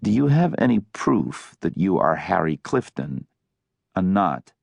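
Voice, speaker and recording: Man acting shrewd elderly lawyer, low and gravelly. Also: sing-song, darkish tone, dry recording, full character voice. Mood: coolly probing. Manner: formal, deliberate questioning. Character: elderly senior lawyer, shrewd, measured, coolly probing.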